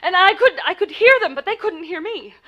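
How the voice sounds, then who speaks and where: A woman's voice: very high voice, expressive, brisk, fairly bright tone. An actress playing a frantic, imperious married woman in a 1940s style radio play, stammering and indignant. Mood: indignant, insistent. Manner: stammering, breathless, insistent, indignant.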